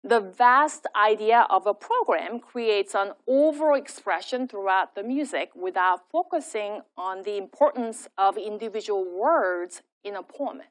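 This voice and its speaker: A woman giving a scripted academic lecture, reading her prepared text in a measured way. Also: medium pitch, animated. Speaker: lecturer and singer.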